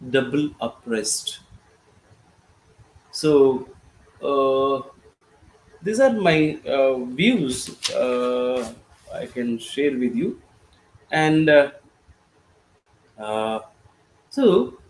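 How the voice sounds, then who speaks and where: A man from India with an Indian accent, whose first language is Gorboli, speaking as a panelist in a halting way with many fillers and restarts. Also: medium pitch, expressive, roomy sound, low-quality recording. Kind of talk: panel discussion talk.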